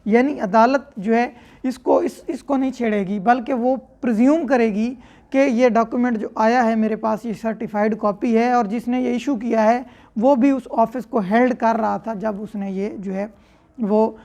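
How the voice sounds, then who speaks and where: A woman's voice: a little high, steady tone, normal speed, warm tone. A woman with a Pakistani accent lecturing, reading out formal clauses and explaining them in a didactic way, rephrasing each point. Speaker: law lecturer.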